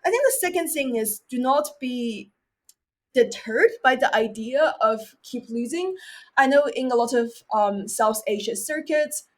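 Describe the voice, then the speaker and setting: A young woman from mainland China speaks English as a second language in a fairly high voice. She is a podcast guest, explaining things earnestly and reflectively, and repeats herself for emphasis. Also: expressive, a little slow. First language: Chinese. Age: young adult. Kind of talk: reflective interview talk with advice.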